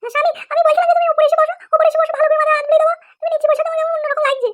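Squeaky pitched-up doting cartoon wife. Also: female voice, level tone, rapid-fire. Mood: adoring. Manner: gushing, sing-song, fussing over husband. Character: doting, obedient young cartoon wife, sugary sweet. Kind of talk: comic cartoon dialogue.

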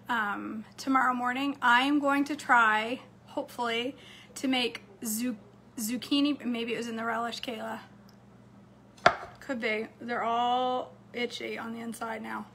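A woman's voice: fairly high pitch, bright, forceful tone, slight background noise. Chatty woman vlogging from her kitchen. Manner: chatty, upbeat, talks straight to camera. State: slightly hoarse. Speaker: cooking vlogger. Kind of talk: casual chat to viewers. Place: home kitchen, indoors. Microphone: phone camera close up on a counter.

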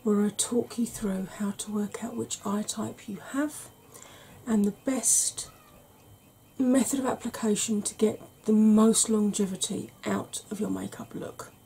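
A woman in her forties, a makeup vlogger, talking through an ASMR makeup tutorial slowly and gently in a soft, breathy voice that often drops to a whisper, recorded at home. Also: mid-range voice, steady tone, a little hiss or hum, poor audio. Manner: slow, gentle, patient explaining. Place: small home room.

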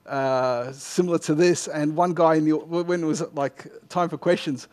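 Man, middle-aged, slightly high voice, conference speaker, self-deprecating, halting delivery, headset mic. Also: fairly even intonation, a little mellow. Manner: self-deprecating, halting, often rephrasing mid-sentence. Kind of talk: informal tech talk, answering audience questions. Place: indoor lecture room, on stage at a lectern. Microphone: headset microphone.

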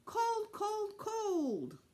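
A woman's voice: a little high, wildly swooping pitch, measured pace, a bit bright, voice pushed up, full character voice. A woman voicing a small, stubborn, playful and jealous boy in a children's audiobook, with an insistent sing-song, childish delivery. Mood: gleeful, sing-song.

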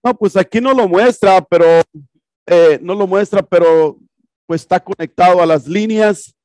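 A man's voice: high voice, a little slow, darkish tone, no echo. A man teaching an HVAC class in a classroom, explaining casually and repeating himself with rhetorical questions. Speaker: HVAC instructor. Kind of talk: classroom lecture explaining a projected video. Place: classroom with projector screen.

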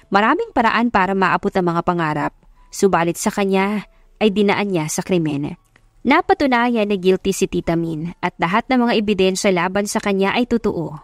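A woman's voice: mid-range voice, no echo, clean studio sound. A woman narrator reading a scripted first-person love story as a dramatic audiobook.

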